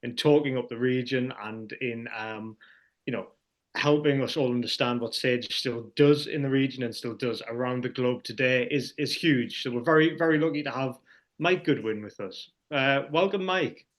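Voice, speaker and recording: Man hosting a webinar, halting. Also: mid-range voice. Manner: hesitant, many fillers. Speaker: webinar host.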